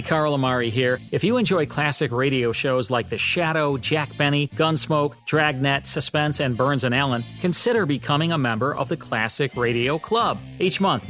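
A man's voice: a little high, fairly bright tone. Male radio host reading a promo. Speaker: radio show and podcast host. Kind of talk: scripted promotional read. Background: faint music.

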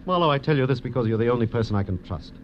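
A man's voice: medium pitch, lively intonation, racing, close to an everyday voice. An actor playing an anxious, protective wealthy man in 1940s radio drama, earnest and urgent. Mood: pained, protective. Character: anxious wealthy protective husband, male blackmail victim in wheelchair. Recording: muffled old radio recording, faint noise.

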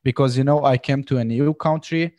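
A man's voice: medium pitch, steady tone, brisk, a little mellow, no echo. Young man, twenties, from syria, earnest casual talk, dry close recording. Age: 20s. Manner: earnest, many fillers like "you know". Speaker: podcast guest. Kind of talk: casual conversation.

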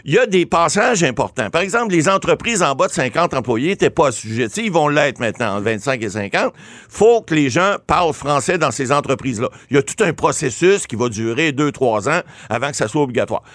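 Québécois guy on a talk show breaking down the law, voice swings up and down a lot, kinda high, dry close mic. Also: fairly quick, no room sound. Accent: Québécois French. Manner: animated, many restarts and repeated words. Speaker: lawyer commentator. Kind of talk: explanatory talk show commentary.

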